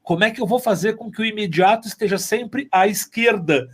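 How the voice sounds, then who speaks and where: A man's voice: high-pitched, fairly quick, husky. A man with a southern Brazilian accent, talking casually in an interview and telling things like a storyteller who acts out remarks. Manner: animated storyteller, acting out quoted remarks. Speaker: sound engineer and mixer on an audio drama.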